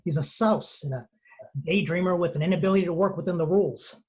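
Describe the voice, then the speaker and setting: A man's voice: high voice, fast, darkish tone, breathy edge. A man playing a skeptical TV writer in a screenplay table read, with a dry, offhand, dismissive manner.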